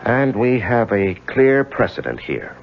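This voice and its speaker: Conflicted young attorney, gravelly, confiding, hesitant, 1970s radio drama, dull lo-fi recording. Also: male voice, medium pitch, big pitch swings, measured pace, over music. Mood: guarded. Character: married young attorney, earnest, evasive, guilt-ridden husband and narrator.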